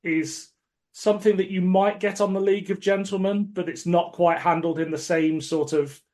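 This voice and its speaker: A man speaking as a podcast guest in casual discussion, measured and thoughtful. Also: high-pitched, steady tone, a little mellow.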